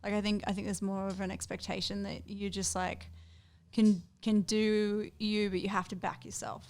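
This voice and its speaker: A woman's voice: medium pitch, level tone, moderate pace, darkish tone. A woman in her thirties with an Australian accent, a musician in casual conversation, chatty and full of fillers. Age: 30s. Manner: chatty, filler-heavy, lots of "like". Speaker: musician guest. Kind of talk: casual chat.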